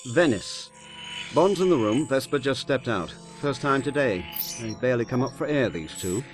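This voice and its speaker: An actor playing a dutiful British intelligence aide in a video game, reporting in a measured, businesslike way. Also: male voice, medium pitch, lively intonation, over music, natural voice. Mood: wry.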